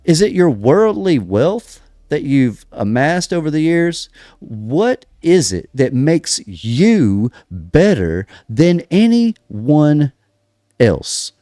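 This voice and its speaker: A man's voice: a little high, big pitch swings, warm tone, no echo, studio quality. Emphatic American man preaching rhetorically. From United States. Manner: emphatic, rhetorical questions, pauses and restarts. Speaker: podcast host. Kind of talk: preaching-style religious commentary.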